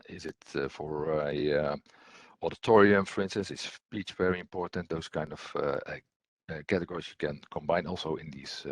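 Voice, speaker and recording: A man presenting technical training as an instructor, explaining step by step in a hesitant, halting way with frequent ums and repeated words, his voice husky. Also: low-pitched, no echo.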